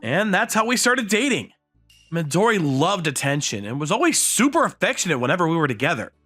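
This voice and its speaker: A man acting out an earnest, self-effacing high school boy in a romance comic dub. His delivery is lively, swinging readily in pitch between narration and dialogue. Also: fairly quick, faint music behind, full character voice. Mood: contented narration. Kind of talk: anime-style manga dub acting and narration.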